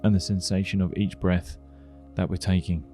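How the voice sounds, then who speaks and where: Deep-voiced man guiding a meditation over music. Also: flat delivery. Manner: gentle, unhurried instructing with pauses. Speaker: meditation guide. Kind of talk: guided meditation.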